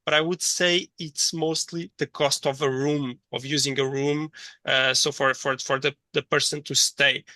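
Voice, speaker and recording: Bright, dry-voiced man lecturing, thinking aloud. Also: medium pitch, level tone, normal speed, no room sound. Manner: hesitant, thinking aloud with repeated words. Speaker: course lecturer. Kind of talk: interactive online teaching, reading chat replies.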